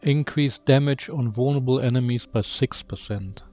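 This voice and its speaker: A man's voice: medium pitch, normal speed, warm tone, faint music behind. A man giving casual gameplay commentary, musing aloud, reading menu text and asking himself questions. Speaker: video game player and channel host.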